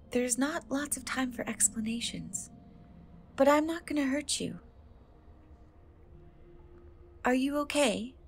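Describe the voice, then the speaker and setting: Woman voicing a slow, gentle young cat girl. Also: high-pitched, a little hiss or hum, full character voice. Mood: soothing, concerned. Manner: soft, hesitant, reassuring. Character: gentle, caring young cat girl rescuer in a fantasy world. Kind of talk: soft-spoken fantasy ASMR roleplay.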